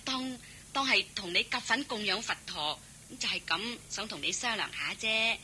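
A woman's voice: mid-range voice, lively intonation, moderate pace, bright, forceful tone, a little hiss or hum, poor audio. An actress playing a shrewd, chatty household servant woman in a Cantonese radio drama, coaxing and practical. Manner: coaxing, colloquial, exclaiming.

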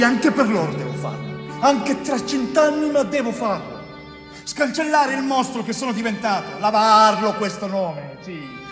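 Wrongly convicted bricklayer, theatrical monologue, distant echoey recording, high-pitched, tender, pleading, emphatic pauses. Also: male voice. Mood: fervent, desperate. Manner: halting, repetitive, emphatic, with dramatic pauses. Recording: echoey hall, distant mic, faint noise.

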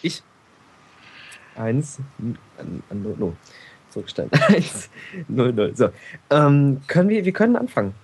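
A man's voice: mid-range voice, big pitch swings, a little slow, pauses often, a little mellow, husky, rough recording. A man co-hosting a game podcast, talking casually in a hesitant way with many restarts. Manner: hesitant, full of fillers and restarts. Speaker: podcast co-host.

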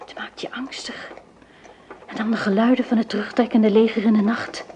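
An actress playing an anxious, gentle married woman in a radio play, earnest and concerned. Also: fairly high pitch, flat delivery, a little fast, natural voice. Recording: faintly noisy old radio play recording.

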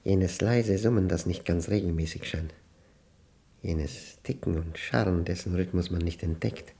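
An actor playing an aging, introspective, solitary man in a radio play monologue, speaking in halting, deliberate phrases with a husky voice. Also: low voice, dark tone, exaggerated voice. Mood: rapt, contemplative. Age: older. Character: aging, solitary man brooding over a humming machine.

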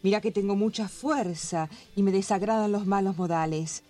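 Actress as a dark-toned, bossy, threatening woman. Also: medium pitch, normal speed, faint music behind, close to an everyday voice. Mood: cold threat. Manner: commanding. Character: bossy, threatening woman, coldly forceful temptress. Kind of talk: radio drama acting.

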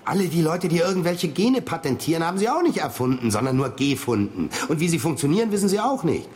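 A man's voice: on the high side, very animated, fast, a little mellow. An actor playing an ambitious, scheming businessman in a radio drama, speaking insistently and persuasively. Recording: noticeable background ambience noise.

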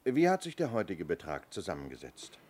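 An actor playing a businesslike police inspector in a 1970s German radio crime play, asking clipped, matter-of-fact questions. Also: male voice, sits low, huge pitch swings, brisk, a little mellow, slight background noise. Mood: probing, calm.

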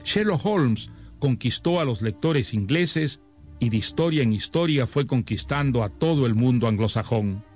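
A man reading a scripted introduction to a literary radio series in a measured, expository manner. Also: mid-range voice, animated, darkish tone, faint music behind. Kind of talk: scripted narration.

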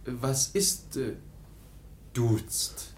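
An actor playing a naive, awkward youth in a radio play, speaking haltingly and simply, like a child, in a roomy studio. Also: male voice, medium pitch, lively intonation. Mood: hesitant. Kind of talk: German radio play acting.

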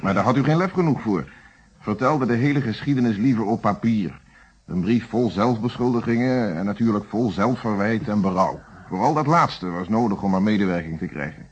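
A man's voice: fairly low pitch, lively intonation, fairly quick, slightly rough. An actor playing a blunt, probing police inspector in a radio play, stern and lecturing as he questions people. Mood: scornful, lecturing. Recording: muffled vintage radio play recording.

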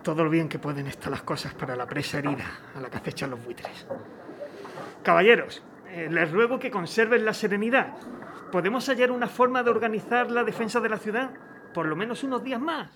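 A man's voice: sits high, noisy background, natural voice. A man playing a formal, weary and dutiful civil governor under strain in an audio drama. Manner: formal, measured authority, hesitant.

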